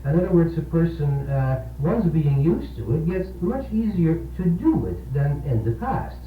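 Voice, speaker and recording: A man, a priest co-hosting a local radio discussion, speaking earnestly and haltingly with repeated words on a 1970s recording. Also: fairly high pitch, very animated, recorded from a distance. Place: radio program, distant-sounding and echoey. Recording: tinny, echoey 1970s radio aircheck, humming noise.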